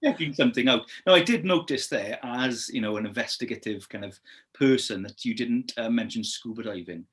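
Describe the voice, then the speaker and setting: A man's voice: medium pitch, fairly quick. A man with a Welsh accent hosting a casual interview, encouraging and full of praise, with many hesitations. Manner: warm and encouraging, many "um"s, says "brilliant" a lot. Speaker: host interviewer.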